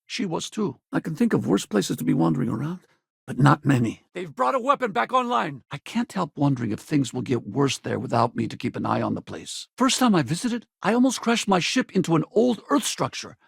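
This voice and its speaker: An easygoing male space pilot companion for a video game. His voice is husky and heavily creaky, recorded dry and close. He talks casually, loyal and wry, like someone used to a fight. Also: a little high, big pitch swings, fairly quick, no room sound, exaggerated voice. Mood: relaxed, casual, then urgent combat shouts. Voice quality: gravelly, husky. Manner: casual, colloquial, conversational.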